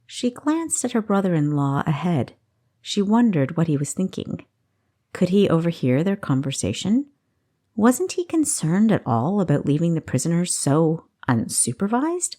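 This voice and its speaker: A woman reading a fantasy story as scripted narration, with an expressive, measured delivery. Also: lowish voice. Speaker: audiobook narrator.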